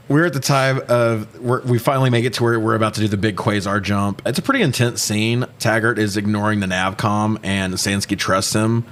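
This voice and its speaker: A man with a fairly low voice chats as a podcast co-host. He runs through a film recap casually, with irreverent jokes and plenty of swearing. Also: steady tone, normal speed, fairly bright tone. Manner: irreverent, joking, profane. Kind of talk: casual movie recap banter.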